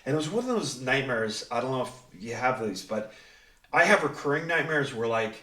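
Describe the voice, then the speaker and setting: Male host, casual storyteller, acts out quotes, mid-pitched, echoey room with distant mic. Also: animated, fairly quick, bright, forceful tone. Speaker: writer and director hosting a panel. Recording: roomy echo, distant mic.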